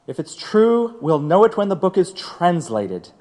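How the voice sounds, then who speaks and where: A man's voice: high-pitched, very animated, measured pace, dark tone. A man playing a stern, impatient trial judge in an audio drama, curt and authoritative. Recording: long hall-like reverb.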